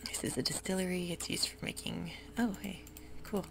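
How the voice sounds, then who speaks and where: Whispering low-voiced woman with gravelly fry. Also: normal speed. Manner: soft whisper, casual and rambling. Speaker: ASMR gaming channel host. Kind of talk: whispered ASMR gameplay commentary. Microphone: desk mic while gaming. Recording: rough home recording with audible hiss.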